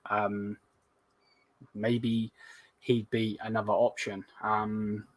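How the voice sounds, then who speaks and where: A slightly hoarse man with a fairly low voice hosts a casual live stream, chatting loosely and filling gaps with plenty of ums. His voice is recorded dry and close, with hardly any room sound. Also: extremely slow, no room sound. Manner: rambling, many fillers, relaxed and hesitant. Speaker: host of a live fan stream. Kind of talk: casual live-stream chat reading comments.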